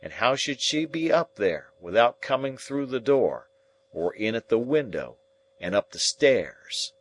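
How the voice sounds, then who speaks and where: A man's voice: medium pitch, big pitch swings, a little slow. A man voicing a scornful, cowardly swindler who blusters and sneers. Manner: scoffing, blustering.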